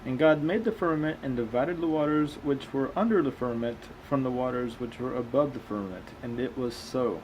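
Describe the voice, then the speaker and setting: A man's voice: medium pitch, a little fast, few pauses, darkish tone, highs cut off, a little hiss or hum. A man reading aloud and commenting casually as a host, with a skeptical, questioning manner. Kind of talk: casual commentary between read-aloud passages.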